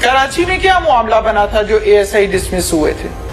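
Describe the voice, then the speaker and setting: A woman's voice: medium pitch, sing-song, moderate pace, over music. Scolding, sarcastic woman interviewer. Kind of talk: probing interrogation.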